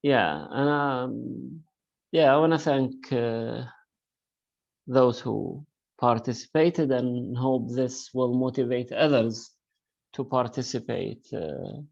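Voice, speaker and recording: Slow gravelly male lecturer. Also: medium pitch, a little mellow, highs cut off. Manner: hesitant, filler-heavy, encouraging. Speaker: university lecturer. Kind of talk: online class discussion.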